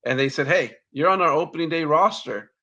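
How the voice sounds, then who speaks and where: Bright-voiced man, casual baseball chat. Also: a little high, lo-fi sound. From Mexican roots. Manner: halting, repeats words, easygoing. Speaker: baseball podcast co-host.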